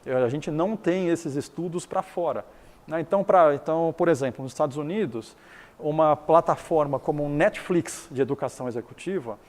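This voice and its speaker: A man's voice: a little high, a little fast, a little mellow, in a large hall. A middle-aged man from Taiwan whose first language is Chinese, explaining his business in an interview, often restarting and repeating words.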